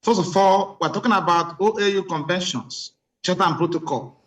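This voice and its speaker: Male lawyer guest, Nigerian accent, fairly high voice, formal, on a distant remote connection. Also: normal speed, fairly bright tone. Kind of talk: formal legal explanation.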